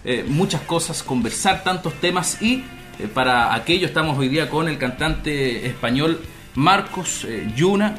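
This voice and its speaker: A man hosting a radio interview, conversational and filling pauses with hesitations. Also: fairly high pitch, lively intonation, fairly bright tone, faint music behind.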